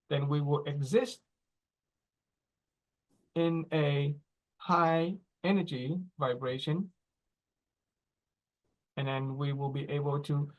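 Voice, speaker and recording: Man teaching slowly, dull lo-fi sound. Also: on the high side. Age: 40s. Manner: explains slowly, checking in with "right?" and "okay?". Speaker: speaker. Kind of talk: teaching talk.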